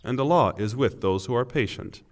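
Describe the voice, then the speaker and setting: A man reading a scripture translation from a script in a measured, formal and solemn way. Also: medium pitch. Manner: measured, formal delivery in short phrases. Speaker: reader of English scripture translation.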